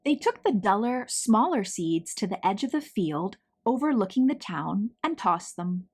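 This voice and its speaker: A woman reads a children's picture book aloud. Her delivery is slow and deliberate, with lively swings in pitch and dramatic emphasis on key words. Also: slightly rough. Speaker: children's story reader.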